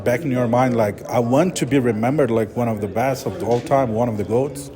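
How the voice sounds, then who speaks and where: A man from Brazil with a Brazilian Portuguese accent, interviewing a fighter in English, halting as he searches for words. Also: mid-range voice, a crowd in the background. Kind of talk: press interview.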